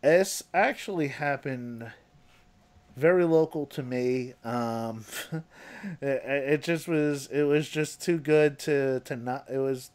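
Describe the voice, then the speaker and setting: A man from New Jersey hosting a loose, casual chat show. He talks at an unhurried, slow pace, rambling through fillers and restarts and laughing often. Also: mid-range voice, darkish tone, no room sound. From New Jersey, USA. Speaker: podcast host.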